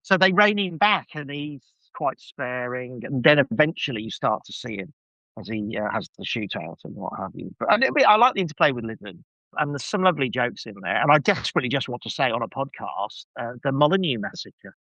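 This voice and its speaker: A man's voice: medium pitch, very animated, fairly quick, bright, forceful tone, no room sound, muffled recording. A man talking as a podcast guest in casual discussion, enthusiastic and emphatic with a wry edge.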